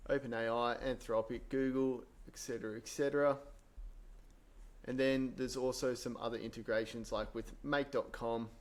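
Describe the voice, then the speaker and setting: A man presenting a software tutorial in an informal, explanatory way, thinking aloud as he works through each step. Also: mid-range voice, steady tone, moderate pace. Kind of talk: casual screen-share software tutorial.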